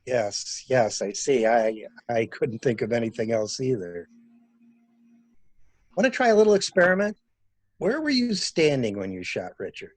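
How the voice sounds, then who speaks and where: Man playing husky, sardonic amateur interrogator. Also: mid-range voice, very animated, normal speed, no echo. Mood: probing, sardonic. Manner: probing, insistent, theatrical. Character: stranded male traveler turned sharp, sardonic amateur interrogator. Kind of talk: dramatic reading of a murder mystery.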